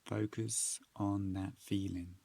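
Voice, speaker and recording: A man narrating a guided meditation, giving soothing, measured instructions with long pauses. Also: on the low side, little pitch movement, gravelly, mellow, no room sound.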